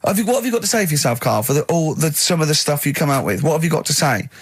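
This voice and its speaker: A man's voice: a little high, animated, racing, breathy edge, no echo. A man hosting a radio show, bantering in a teasing, exasperated way and often breaking into laughter. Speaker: radio host. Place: radio studio. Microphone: studio microphone. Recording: dry studio sound.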